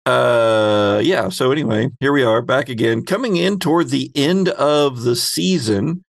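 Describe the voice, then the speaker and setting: Male game master, podcast host, noticeable vocal fry, casual, jokey, rambling, dry close sound. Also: medium pitch, unhurried, no echo. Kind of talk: casual podcast intro chat.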